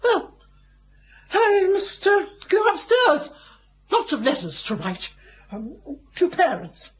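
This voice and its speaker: An actress playing a genteel, effusive middle-aged schoolmistress in a radio comedy, with a refined upper-class English accent. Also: female voice, very high voice, huge pitch swings, takes it slowly, full character voice. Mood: stiffly offended. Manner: gushing, refined. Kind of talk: BBC radio comedy drama acting.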